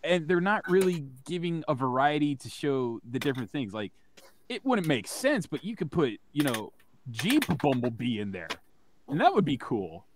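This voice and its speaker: A man co-hosting a casual podcast, rambling through long animated stories with some swearing. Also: on the high side, moderate pace, a little hiss or hum. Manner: rambling, animated storyteller, profane. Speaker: podcast co-host.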